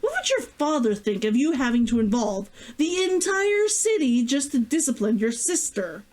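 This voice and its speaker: Woman as storybook male healer, exasperated, firm, short-tempered, highly animated delivery. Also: moderate pace. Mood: firm, annoyed.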